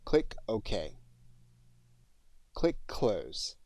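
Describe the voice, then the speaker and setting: A man narrating an instructional software tutorial in a deliberate, step-by-step manner. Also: lowish voice, sing-song.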